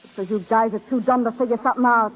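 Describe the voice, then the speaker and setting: Casting: woman to play brusque, sharp-tongued landlady, high voice, old crime radio serial, muffled vintage sound. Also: steady tone, racing, a little hiss or hum, natural voice. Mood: scornful. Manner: brusque, scornful, slangy. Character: surly, nosy older landlady with sharp tongue. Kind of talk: mid-century radio crime drama acting.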